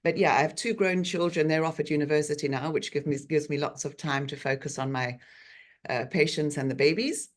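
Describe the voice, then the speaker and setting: South african lady osteopath on a video call, low voice, lots of ums. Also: brisk. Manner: chatty, frequent ums while explaining. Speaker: osteopath guest speaker. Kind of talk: online talk presentation. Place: bright treatment room.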